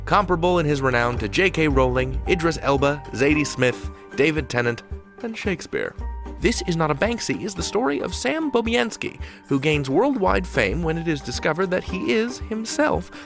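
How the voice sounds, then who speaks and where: Male podcast host, lively swooping intonation, gravelly fry, scripted introduction, faint music behind. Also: fairly high pitch, moderate pace. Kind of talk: scripted narration.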